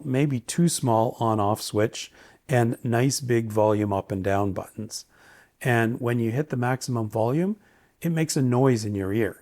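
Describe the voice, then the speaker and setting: A man's voice: medium pitch, darkish tone. A man giving a casual, opinionated, lightly joking product review. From Canada. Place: indoors, home study.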